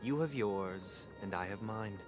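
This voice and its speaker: An actor playing a resolute, composed young zealot in a video game, with a poised, formal, theatrical delivery. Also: male voice, fairly low pitch, unhurried, natural voice. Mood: defiant, composed. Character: resolute young male zealot, devoted brother, composed and lofty. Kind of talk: dramatic video game acting. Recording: game audio under loud music.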